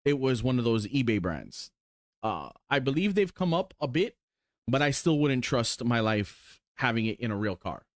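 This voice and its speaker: A man in his forties chatting casually and excitedly about his sim racing cars. He rambles, swears casually and fills pauses with lots of ums. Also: mid-range voice, dry recording, studio quality. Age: 40s. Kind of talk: casual chat while showing cars.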